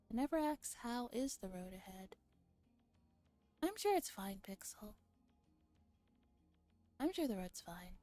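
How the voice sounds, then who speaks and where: A woman streamer chatting casually in a relaxed ASMR livestream, with playful, giggly banter between bits of reading a visual novel aloud. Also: medium pitch, gravelly. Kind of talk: casual livestream chat mixed with game read-aloud, ASMR.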